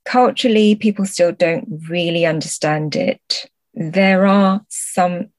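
A woman's voice: fairly low pitch, takes it slowly, no room sound, clean studio sound. A middle-aged woman from China who grew up in the UK, giving thoughtful, explanatory answers as a translator in an interview. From born in Guangzhou, China, moved to the UK at a young age. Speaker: podcast guest, literary translator.

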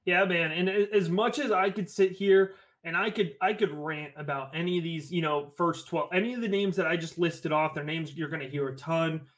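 Man, from Connecticut, podcast guest, eager and rambling, slightly high voice. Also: moderate pace, few pauses, fairly bright tone. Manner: enthusiastic, rambling, repeats phrases. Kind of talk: casual podcast chat about fantasy football.

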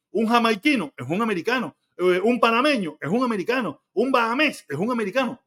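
A Cuban man hosting a live stream, reading viewer comments aloud and answering them bluntly, with frequent swearing. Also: high-pitched. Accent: Cuban Spanish. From Cuba. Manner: blunt, argumentative, swears freely. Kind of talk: casual live stream chat.

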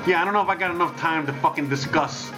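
Rambling man over loud music. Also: fairly high pitch, fast talker. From Miami, United States. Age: 30s. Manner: rambling, swears freely, drops Spanish phrases. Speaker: livestream host. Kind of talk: casual livestream chat. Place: home, wife nearby. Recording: dull lo-fi stream with loud music.

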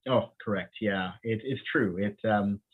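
Male medical expert, low voice, halting with many restarts, heard over a tinny phone-like line. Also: husky. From Bahamas. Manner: laughs often while talking. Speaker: infectious disease physician and microbiologist. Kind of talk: interview guest, conversational.

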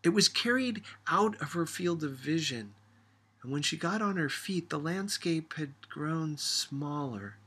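Male reader for literary audiobook. Husky edge, bright tone, measured scripted narration. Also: a little high, lively intonation.

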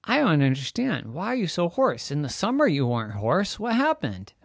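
A man doing a loud, playful storybook dad. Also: fairly high pitch, normal speed, creaky, no echo, studio quality, pitched above the performer's own voice, heavily acted. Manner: raised, sing-song, animated.

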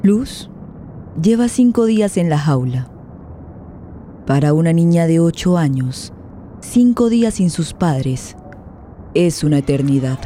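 Very mellow, dark-toned female narrator. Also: low voice, expressive, measured pace, pauses often, a little hiss or hum. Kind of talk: scripted dramatic radio narration.

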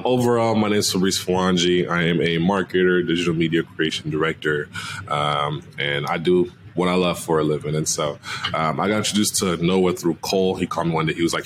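An energetic young man from Cameroon, a marketing agency owner, talking casually and upbeat as a podcast guest. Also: sits low, a little hiss or hum. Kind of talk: casual interview talk.

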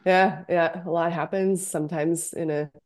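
A woman guest author who is under the weather, her voice deep from it, answering hesitantly. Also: fairly even intonation, moderate pace. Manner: hesitant, many yeahs. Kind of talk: casual interview chat.

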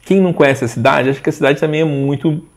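A man in his forties, a guest in a casual interview, talking in a rambling, animated way with frequent restarts. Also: on the high side, fast talker. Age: 40s. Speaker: interview guest, social media page owner.

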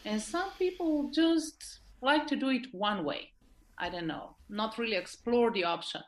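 A woman's voice: a little high, animated, unhurried. A woman from Slovenia with a Slovenian accent, answering in an informal interview style and often checking for agreement. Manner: informal, often seeks agreement with tag questions. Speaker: interview guest, leadership expert.

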